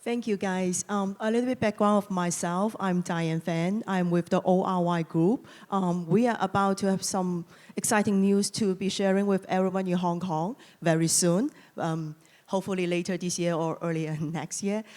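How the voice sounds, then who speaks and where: A woman's voice: on the low side, steady tone, normal speed, a little mellow, long reverb tail. A woman moderating a conference panel, speaking in a conversational, loosely phrased way. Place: conference hall.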